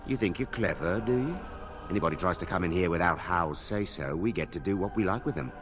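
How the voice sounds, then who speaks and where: Man as deep, menacing dungeon guard. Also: brisk, close to an everyday voice. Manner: taunting. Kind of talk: video game cutscene acting.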